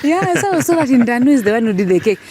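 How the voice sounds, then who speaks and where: A woman in her thirties hosting a casual interview, curious and prompting, with quick back-channel replies. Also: high voice, very animated, dark tone, slightly rough. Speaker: show host.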